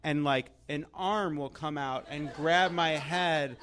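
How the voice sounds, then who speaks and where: Man, American accent, higher-pitched voice, wry sarcastic host, live panel banter. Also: takes it slowly, a bit bright, a little hiss or hum. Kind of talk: joking panel chat before a live audience.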